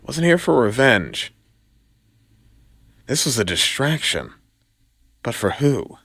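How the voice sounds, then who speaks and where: A man voicing a young, quippy, quick-thinking male superhero who talks to himself in an audio drama. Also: medium pitch, big pitch swings, gravelly, a bit bright, no echo, heavily acted. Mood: tense, calculating.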